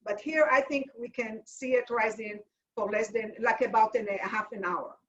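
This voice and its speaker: A middle-aged woman from Israel with an Israeli accent, demonstrating a recipe in a halting, practical way and searching for words. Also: fairly high pitch, a bit bright, slight background noise. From Israel, family from Tunisia. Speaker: cooking instructor. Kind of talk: live cooking demonstration. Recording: distant, dull lo-fi mic.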